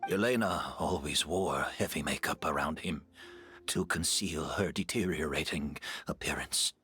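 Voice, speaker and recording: An actor playing a frail, remorseful perfumer in a game, calm and earnest. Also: male voice, rumbling low, measured pace, soft background music, heavily acted.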